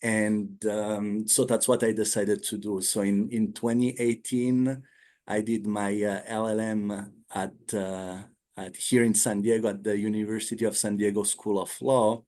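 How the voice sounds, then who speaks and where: Man, 30s, English, lowish voice, hesitant, many fillers. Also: level tone, warm tone. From Switzerland. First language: not English. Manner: halting, many fillers and restarts. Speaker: guest, attorney. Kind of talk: casual interview talk.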